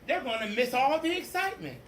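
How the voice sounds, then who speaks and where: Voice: high voice, big pitch swings, brisk, pitched above the performer's own voice. A storybook reader voicing a formal, rather pompous male school principal with emphatic delivery. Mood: affable. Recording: echoey room, distant mic, faint noise.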